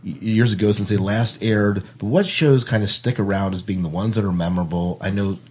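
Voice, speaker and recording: A man hosts a podcast in an informal, chatty style. His voice sits a little low and has a husky, rough edge. He talks casually, as if among friends. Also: brisk, a little mellow. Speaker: podcast host.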